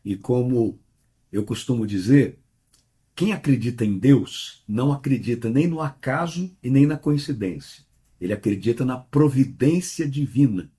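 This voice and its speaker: A man's voice: medium pitch, dark tone, slightly rough. A middle-aged man, a pastor, speaking earnestly in an interview with a mixed Brazilian Portuguese accent and telling stories. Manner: earnest, measured, tells anecdotes with quoted voices. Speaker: pastor and church president. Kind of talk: interview guest, conversational storytelling.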